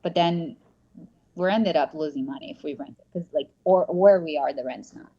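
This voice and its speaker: A woman with a notably low voice talks casually as a guest, full of ums and restarts. She is joining over headphones on a remote call, and the audio is dull and lo-fi. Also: moderate pace, husky. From Brazil. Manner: chatty, many fillers and restarts. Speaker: real estate agent, guest.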